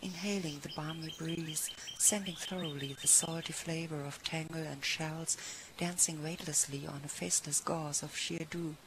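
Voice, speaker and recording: A woman recites verse in a notably low voice. The recording is clearly noisy, with ambient sound behind her reading. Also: fairly even intonation, normal speed. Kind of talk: poetry recitation.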